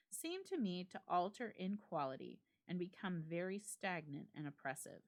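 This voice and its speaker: A woman giving a dramatic reading of a classic ghost story, as a library staff reader. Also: fairly low pitch.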